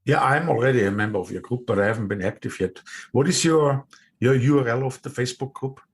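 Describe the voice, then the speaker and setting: A man's voice: mid-range voice, quick pace, breathy edge. A man with an Austrian accent hosting a podcast interview, friendly and a little hesitant, with frequent fillers. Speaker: podcast host. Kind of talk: interview conversation.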